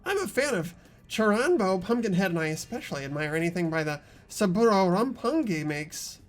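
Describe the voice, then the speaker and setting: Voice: mid-range voice, very animated, a little fast, soft background music, lightly acted. A performer voicing a pompous, nerdy young male anime fan in a game read-aloud. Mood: pompous, geeky.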